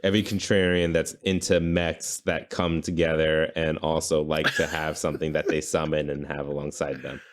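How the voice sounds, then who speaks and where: Low-voiced man in casual podcast chat. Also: flat delivery, moderate pace, few pauses. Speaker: podcast co-host.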